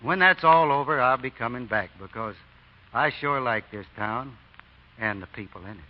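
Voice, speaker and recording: An actor as a folksy, swooping small-town American deacon. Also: male voice, mid-range voice, animated, moderate pace, natural voice. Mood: warm, modest. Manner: folksy, drawling, feigned innocence. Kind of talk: 1940s radio acting. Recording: dull old radio broadcast recording.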